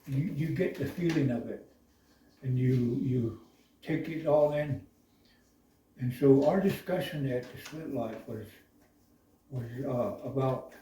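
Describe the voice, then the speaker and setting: A man from the Navajo Nation speaking slowly and thoughtfully in a reflective discussion, pausing often with uhs. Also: mid-range voice, warm tone, a little hiss or hum. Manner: slow, thoughtful, halting with many uhs. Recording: echoey room, voice from far off.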